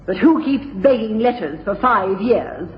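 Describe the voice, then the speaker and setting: A woman's voice: mid-range voice, expressive, measured pace, natural voice. An actress playing a proud, impassioned upper-class Victorian woman in a radio drama, formal and emphatic. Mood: firm, defiant. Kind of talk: courtroom radio drama acting. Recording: dull radio recording, long hall echo.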